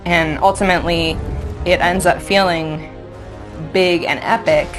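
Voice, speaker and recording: A woman's voice: fairly low pitch, steady tone, measured pace. A woman talking as a game developer in a promotional interview, with music behind her.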